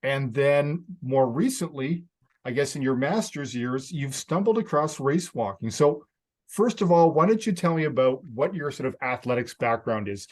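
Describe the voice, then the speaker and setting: A man's voice: mid-range voice, expressive, normal speed. A man with a Canadian accent hosting a podcast interview, chatty and laughing easily. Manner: friendly, chatty, laughs often. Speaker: podcast host. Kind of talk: casual interview chat.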